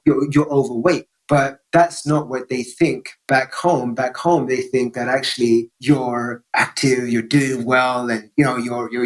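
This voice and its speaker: A man's voice: mid-range voice, rough recording. Man talking through his fitness story. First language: English. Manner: reflective, explains with examples and frequent "right?" checks. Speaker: podcast guest. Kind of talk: conversational interview.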